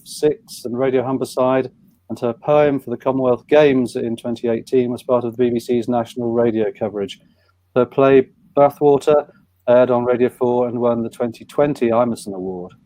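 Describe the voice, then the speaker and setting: A man hosting an online panel event, introducing guests and asking questions in a somewhat halting way. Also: mid-range voice, warm tone, no echo. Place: indoors on a live online video call.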